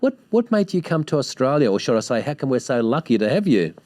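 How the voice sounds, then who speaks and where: A male television host speaks in a mellow, darker tone. He is gushing and full of praise for his guest, and his sentences come with frequent ums and hesitations. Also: mid-range voice, very animated, quick pace. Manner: effusive, praising, with many hesitations. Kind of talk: TV interview.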